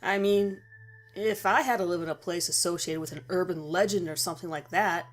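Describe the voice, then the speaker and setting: A woman's voice: on the low side, brisk, faint music behind, natural voice. A woman voicing a casual, skeptical young man on a road trip in a narrated horror story, speaking emphatically. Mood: wry, casual.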